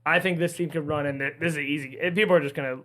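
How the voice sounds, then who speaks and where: A man's voice: fairly high pitch, steady tone, fairly bright tone. A young man co-hosting a casual betting podcast, arguing his picks insistently. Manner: insistent, talks fast, hypes his picks. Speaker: podcast co-host. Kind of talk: casual sports betting chat.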